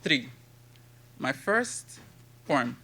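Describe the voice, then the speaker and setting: A man in his thirties from Nigeria with a Nigerian accent, giving a conference talk and reciting his poetry in a measured, rhythmic way. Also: mid-range voice, very animated, sharp tone. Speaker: conference speaker and poet. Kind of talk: academic talk with poetry reading.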